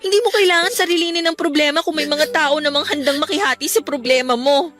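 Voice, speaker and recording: High-voiced, caring teenage best friend, Filipino. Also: female voice, fast, a bit bright. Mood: earnest, reassuring. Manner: earnest, tearful, pleading. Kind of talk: Tagalog radio drama acting. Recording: music bed under the voice.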